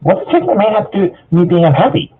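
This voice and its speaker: A man's voice: high-pitched, big pitch swings, rapid-fire, pitched above the performer's own voice. A man voicing a cheerful, chatty young female cartoon pony in a bubbly, insistent manner. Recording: thin phone-like voice chat.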